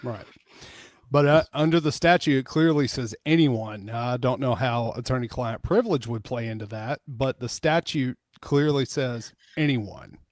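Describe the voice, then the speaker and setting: A man with a mid-pitched voice and a dry, close sound, co-commentating on a livestream. He explains things in a measured, matter-of-fact way, moving between casual chat and reading out notes. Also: no echo.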